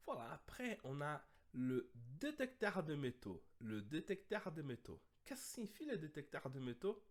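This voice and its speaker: A man with a cold, his voice a bit hoarse, teaching an online French lesson and often checking in with his students. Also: mid-range voice.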